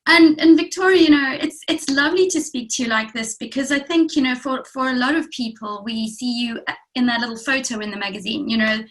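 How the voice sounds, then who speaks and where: A woman's voice: high voice, a little fast, a bit bright. Woman hosting an interview. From South Africa. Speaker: interview host.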